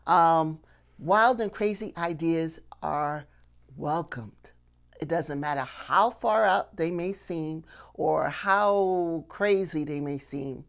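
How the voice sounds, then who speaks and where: A woman's voice: low-pitched, slow pace, gravelly. A middle-aged woman giving an instructional talk to camera as a teacher, emphatic and repeating key phrases for stress. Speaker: puppetry teacher and video host.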